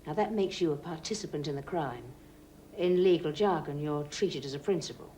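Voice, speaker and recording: A woman's voice: low-pitched, a little fast, warm tone, a little hiss or hum, natural voice. An actress playing a stern female investigator who presses a suspect with threats. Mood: pressing. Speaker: security officer questioning a suspect. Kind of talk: 1980s TV drama acting.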